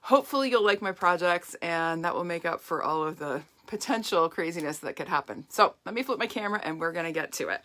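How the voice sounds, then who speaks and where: Hoarse woman on a craft live stream. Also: lowish voice, expressive, fast, hardly any pauses, bright, forceful tone. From Canada. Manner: chatty and upbeat, greeting viewers by name. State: hoarse, croaky from voice strain. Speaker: craft demonstrator.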